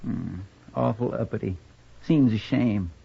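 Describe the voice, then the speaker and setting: Tinny old radio sound carries an eager, loyal frontier sidekick. He has a creaky, raspy rural American drawl and a folksy, deferential way of talking. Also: on the low side, unhurried, exaggerated voice. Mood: disapproving, folksy. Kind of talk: 1950s radio western acting. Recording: tinny, narrow vintage radio recording.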